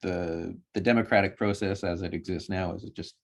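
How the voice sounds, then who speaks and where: A man's voice: low-pitched, fairly even intonation, fairly quick. A man speaking as a guest in a casual discussion, hedging his points with frequent ums.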